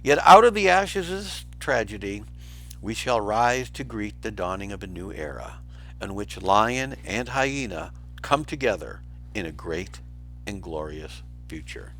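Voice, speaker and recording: A man's voice: on the low side, lively intonation, unhurried, a bit bright, faint music behind, poor audio. Storybook villain lion, done by a male reader: pompous, scheming, oratorical, with dramatic rises and falls. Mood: grandiose. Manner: grandiose, oratorical.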